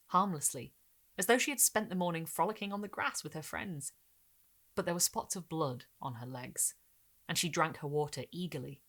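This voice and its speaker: A woman reading a gothic short story expressively, in a measured, dramatic way with careful phrasing. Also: fairly low pitch, fairly bright tone. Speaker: narrator and host.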